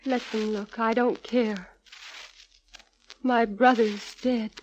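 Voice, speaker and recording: An actress playing a distraught young grieving sister, earnest and plaintive, in 1940s radio melodrama. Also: female voice, fairly high pitch, fairly even intonation, slow, a little mellow. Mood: subdued, grieving. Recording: dull, hissy old radio recording.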